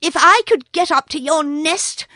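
A woman's voice: high voice, no echo, studio quality, pitched above the performer's own voice. A woman voicing a brave young male mongoose who speaks sharply and impatiently. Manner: brisk, sharp and scolding.

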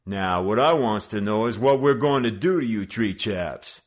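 A man's voice: fairly low pitch, normal speed, pitched above the performer's own voice, natural voice. A man voicing a young male hoodlum gang leader who bullies and mocks in slangy tough talk. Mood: mocking. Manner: mock-judicial, bullying, slangy tough talk.

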